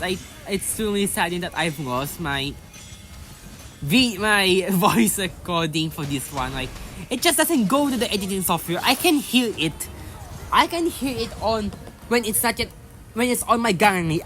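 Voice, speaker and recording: A woman's voice: mid-range voice, a bit bright. A young woman casually voicing over a mobile game tutorial, rambling with lots of fillers and restarts and slipping into an exasperated rant. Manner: exasperated ranting. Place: home voice-over recording. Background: faint background music.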